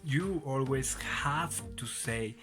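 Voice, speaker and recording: A young man presenting interview advice in halting English, with frequent restarts. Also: mid-range voice, music in the background. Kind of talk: casual advice video.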